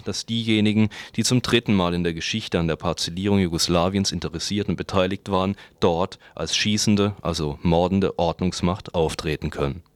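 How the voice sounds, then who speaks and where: A man's voice: low-pitched, dry recording. A man reads a prepared political commentary. He works through long written sentences as a radio commentator, recorded close and dry with no room around him. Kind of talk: scripted political commentary, read aloud.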